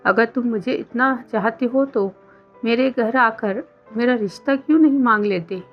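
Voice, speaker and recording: A woman's voice: fairly high pitch, moderate pace, natural voice. A woman voicing a lovestruck, earnest young college woman in a storybook romance, pleading and heartfelt. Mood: affectionate. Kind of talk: scripted story dialogue. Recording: dull lo-fi sound under music.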